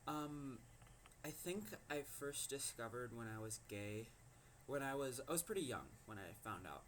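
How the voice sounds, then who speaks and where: Teen boy, fifteen, low voice, halting personal story over faint hiss. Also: animated. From Minnesota. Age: teens. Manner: hesitant, many ums and likes. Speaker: teen vlogger.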